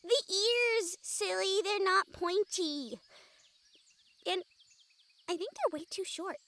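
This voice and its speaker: A woman playing an excitable, kind-hearted young female harpy in an audio roleplay, with an eager, girlish, bubbly way of talking. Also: very high voice, takes it slowly, full character voice. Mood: curious.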